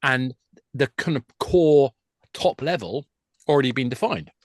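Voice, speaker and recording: Male industry expert guest. Medium pitch, dry sound, casual but emphatic, lays out points at length. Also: animated, unhurried, no echo. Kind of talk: podcast discussion, casual explaining.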